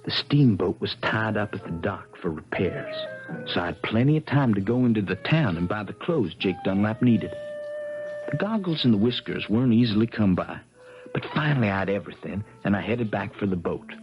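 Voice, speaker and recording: A man's voice: on the low side, animated, brisk, faint music behind. An actor playing an earnest, helpful young American man telling his adventure in a folksy way in a 1970s radio drama. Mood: reflective storytelling. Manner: folksy storytelling. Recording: dull vintage radio broadcast.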